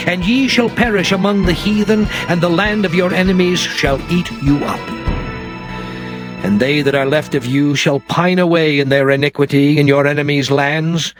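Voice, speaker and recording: Man voicing a stern, higher-pitched God over music. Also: natural voice. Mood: grave, condemning. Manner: solemn, declamatory, archaic diction. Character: God, stern male deity pronouncing curses and covenant. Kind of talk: dramatized King James scripture reading. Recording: music behind the voice. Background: clear background music.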